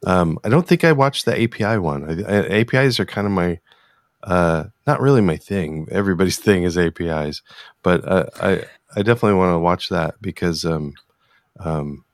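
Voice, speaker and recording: A man with a low-set voice, recorded very dry. He interviews in a casual, conversational way, often hesitating and regrouping mid-phrase. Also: animated, normal speed, no echo. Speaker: interviewer, podcast host.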